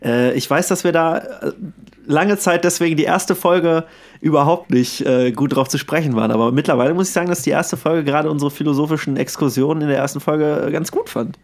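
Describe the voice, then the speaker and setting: A man with a voice a little higher than average speaks as a podcast co-host. He talks casually and conversationally, like someone recounting memories among the other hosts. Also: brisk.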